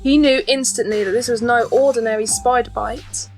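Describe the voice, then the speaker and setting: High-voiced woman narrating a children's story. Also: steady tone, moderate pace, a little mellow. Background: faint background music.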